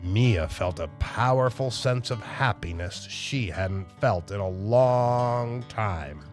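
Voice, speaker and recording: A man reading aloud as a children's storytime host, animated and emphatic as he addresses young listeners. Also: on the low side, slow pace, soft background music. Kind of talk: expressive children's read-aloud narration.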